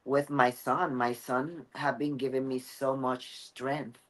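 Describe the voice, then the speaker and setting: A middle-aged woman from Puerto Rico with a Puerto Rican accent, a Spanish speaker, chatting as a guest in an interview with earnest, halting phrasing. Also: a bass voice, flat delivery. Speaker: guest athlete. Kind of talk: casual interview chat.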